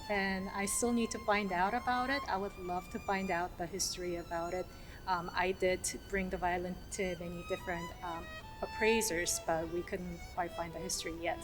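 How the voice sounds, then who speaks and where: Woman musician from South Korea over music. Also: fairly low pitch. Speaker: orchestral violinist. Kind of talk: casual scripted-outline self-introduction to camera.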